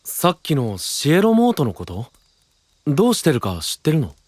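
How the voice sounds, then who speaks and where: A man's voice: a little high, wildly swooping pitch, normal speed, a little mellow, dry recording, clean studio sound. A man playing an easygoing, friendly young man who speaks casually and lightly in an anime audio drama. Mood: breezy.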